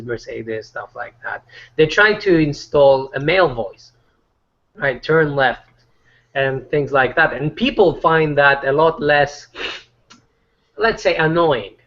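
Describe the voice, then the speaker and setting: A man talking casually in a group chat, rambling with many fillers and restarts; he says his voice is not deep. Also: a bit bright, highs cut off.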